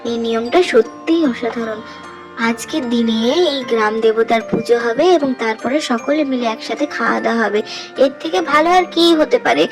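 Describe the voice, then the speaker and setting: A woman's voice: a little high, a little fast, music in the background, natural voice, rough recording. A woman voicing an eager, chatty young village woman in a Bengali cartoon fairy tale. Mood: proud, delighted.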